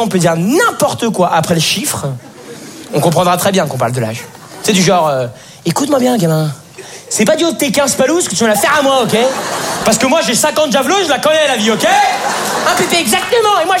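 A nineteen-year-old man doing a stand-up routine on stage, animated and talking to the audience while acting out voices. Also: high voice. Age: teens. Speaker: stand-up comedian. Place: stage before a live audience. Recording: live stage, crowd and noise.

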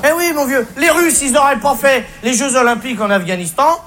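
A man's voice: very high-pitched, brisk, fairly bright tone. A male stand-up comedian delivering a colloquial, sweary, faux-naive rant on stage. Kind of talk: comic stage monologue. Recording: noisy live recording with audience. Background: audience laughter.

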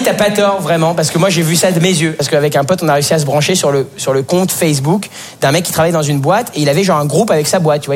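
A nineteen-year-old man from France doing a casual, slangy stand-up monologue, talking straight to the audience. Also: fairly high pitch. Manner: conversational, slangy, addresses the audience. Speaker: stand-up comedian. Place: live comedy show before an audience. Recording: noisy live stage recording.

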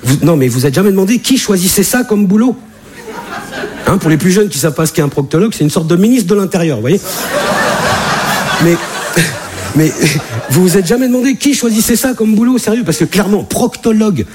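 A man doing a stand-up comedy set on a club stage, animated, with big jumps in voice for punchlines. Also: fairly quick, pauses often. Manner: animated, jumps high for punchlines and acted-out quotes. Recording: live club audience laughter. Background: audience laughter.

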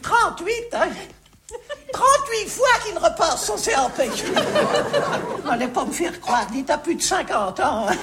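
A shrill, very high, nagging old country mother, her voice leaping up and down as she scolds and gossips. She breaks into sudden shouts. The broadcast carries a noticeable hiss. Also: expressive, a little slow, some chatter behind, heavily acted. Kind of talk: comedy radio sketch. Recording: noisy broadcast recording.

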